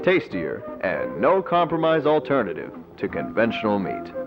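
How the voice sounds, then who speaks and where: A man playing a polished, persuasive corporate pitchman narrating a scripted infomercial. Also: huge pitch swings, normal speed. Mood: promotional. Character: smooth-talking corporate pitchman for a meat substitute. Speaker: advertising narrator. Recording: dull lo-fi video audio over music. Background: music.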